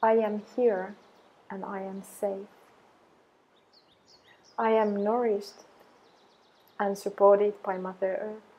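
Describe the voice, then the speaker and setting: A woman guiding an affirmation meditation in a soothing, measured way, with long pauses between deliberate phrases. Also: mid-range voice, flat delivery, slightly rough, highs cut off. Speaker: meditation guide.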